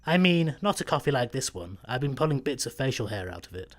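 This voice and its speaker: An actor playing a brash, blunt, scheming stowaway with a Scottish accent in a sci-fi comedy radio play. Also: male voice, medium pitch, big pitch swings, a little fast, close to an everyday voice. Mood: casual, cocky. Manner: blunt, cocky.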